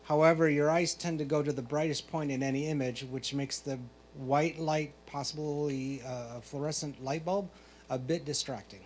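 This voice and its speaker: A man working as a photo competition judge, reading out prepared critiques in a measured, explanatory way. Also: a little high, steady tone, a little mellow. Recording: clear background noise, hum.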